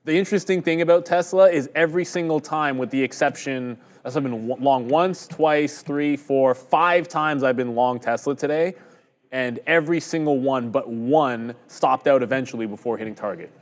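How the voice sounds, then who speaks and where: A man's voice: mid-range voice, normal speed. A man hosting a live stock-trading stream, giving rambling, conversational, self-deprecating market commentary. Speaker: day-trading stream host.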